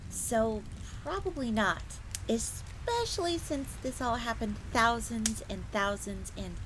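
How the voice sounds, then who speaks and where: A woman's voice: medium pitch, natural voice. A woman voicing a young, earnest, secretive fox maid in a scripted fantasy audio roleplay, confiding in the listener. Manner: speaks of herself in third person, confiding. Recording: crackling fire noise behind voice. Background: crackling fire.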